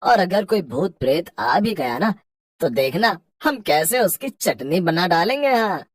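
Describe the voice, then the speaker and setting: A woman voicing an excitable, boastful village husband with a rustic Hindi accent in a horror cartoon. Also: fairly low pitch, measured pace, no echo, clean studio sound. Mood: cocky. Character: boastful village husband, cocky but easily terrified. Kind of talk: cartoon horror story acting.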